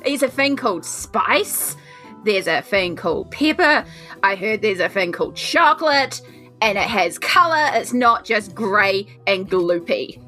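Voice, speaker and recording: A woman playing a tipsy, blunt and excitable female adventurer in a tabletop roleplay game. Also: mid-range voice, unhurried, faint music behind. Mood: excited, tipsy.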